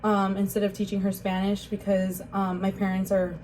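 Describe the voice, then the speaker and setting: A woman's voice: mid-range voice, completely flat delivery, normal speed, darkish tone, a little hiss or hum, low-quality recording. A young woman telling her life story in an interview, hesitant and full of "like" and "um". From Rockford, Illinois, USA. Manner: hesitant, many fillers like "like" and "um". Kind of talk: casual spoken recollection.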